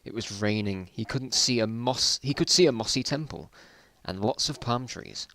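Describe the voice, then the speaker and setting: A man's voice: medium pitch, moderate pace, pushed and bright. A man reading his own old stories aloud in a casual dramatic style, with self-mocking, bemused asides.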